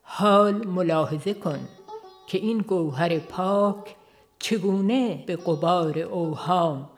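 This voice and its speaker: A woman narrating a religious book from a script, in a measured, formal literary manner. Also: fairly low pitch, soft background music. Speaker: audiobook narrator.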